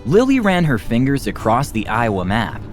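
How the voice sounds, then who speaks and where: A man reads a children's story as narrator, with a very lively, expressive melody to his mid-pitched voice. Music plays clearly behind the reading. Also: moderate pace. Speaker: storytime reader, music club teacher.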